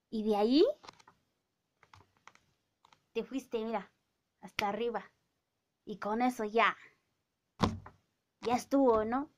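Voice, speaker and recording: A woman in her thirties, a native Mazatec speaker with a Mazatec-accented Spanish, scolding in a casual rant to a phone camera in a small room. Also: on the high side. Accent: Mazatec-accented Mexican Spanish. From Oaxaca, Mexico. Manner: indignant, scolding, drawn-out speech with many fillers. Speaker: vlogger. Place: small indoor room with bookshelves. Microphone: phone camera at arm's length.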